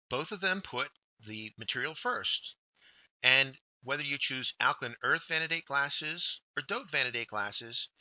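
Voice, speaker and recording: A man narrating a scripted instructional workshop, explaining step by step with deliberate emphasis on key words. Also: mid-range voice, bright, forceful tone, no echo, rough recording. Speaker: workshop instructor, journal editor.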